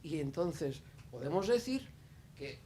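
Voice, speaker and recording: A man's voice: fairly high pitch, big pitch swings, slow, warm tone, slight background noise. A man speaking off the cuff at a panel discussion, hesitant, with many fillers and restarts. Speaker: audience member at a panel. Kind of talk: spontaneous spoken remarks at a panel discussion.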